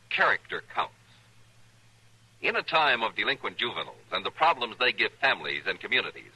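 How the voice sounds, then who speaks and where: An American man reading a scripted public service announcement in an earnest, formal, measured way on old radio. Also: medium pitch, sharp tone, slightly rough, low-quality recording. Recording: old radio broadcast recording.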